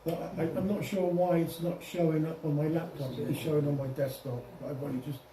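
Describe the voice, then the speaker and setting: A man giving an informal software demonstration to a group, explaining step by step with some hesitation and self-correction. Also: fairly high pitch, fairly even intonation, brisk. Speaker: presenter at an amateur radio club. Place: indoor club meeting room. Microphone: distant room microphone. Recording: echoey room, distant mic, faint noise.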